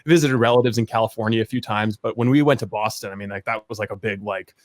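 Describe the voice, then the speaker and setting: A man from rural Maine talks quickly in a lowish voice, close and dry on the recording. He chats casually as a podcast guest, joking drily at his own expense and swearing freely. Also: no echo. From rural Maine, USA. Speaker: podcast guest, a media producer. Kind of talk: casual interview chat, joking.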